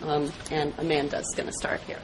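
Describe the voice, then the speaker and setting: A woman introducing and reading stories aloud at a live event, in an unhurried storytelling style. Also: sits low, completely flat delivery, background noise. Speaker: reader at a public read-aloud.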